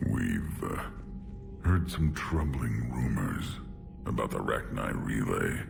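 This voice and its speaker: An actor voicing a huge, proud, menacing alien clan leader in a video game, with a gravelly rumble and a blunt, domineering delivery. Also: male voice, animated, takes it slowly, over music, full character voice. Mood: gruff, guarded. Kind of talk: video game cutscene acting.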